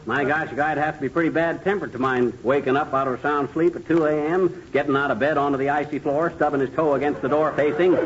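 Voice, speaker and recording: A man's voice: a little high, steady tone, fast, few pauses, natural voice. An American man playing a folksy, blustering middle-aged husband in a 1940s radio comedy, with slangy grammar. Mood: grumbling. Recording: muffled, hissy 1940s radio recording.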